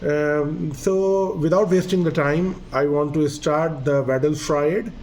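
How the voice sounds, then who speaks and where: A man's voice: fairly high pitch, steady tone, slow pace, darkish tone, a little hiss or hum. A man whose first language is Urdu, a doctor giving a medical video lesson in an earnest, hesitant way, with fillers and repeated phrases. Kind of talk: medical lecture.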